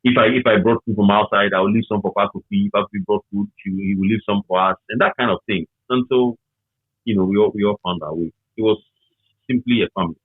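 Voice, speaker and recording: Phone-like, husky Ghanaian man reminiscing. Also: on the low side, fast talker, no room sound. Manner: emphatic, repeats phrases. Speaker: interview guest, former radio worker. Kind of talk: casual reminiscing conversation. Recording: tinny, narrow phone-like line.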